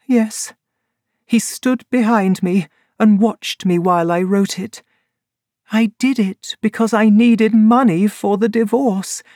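A woman's voice: takes it slowly, a little mellow, no echo, natural voice. A reader voicing an upset young woman who pleads and confesses, in a dry, close recording. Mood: regretful. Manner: emotional, high and strained. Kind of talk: audiobook character reading.

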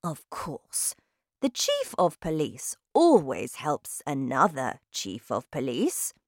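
A calm old storybook grandmother, played by a woman, sly and reassuring. She speaks slowly and softly in a lowered, slightly creaky voice, with a knowing manner. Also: no echo, clean studio sound, exaggerated voice. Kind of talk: children's storybook character voice.

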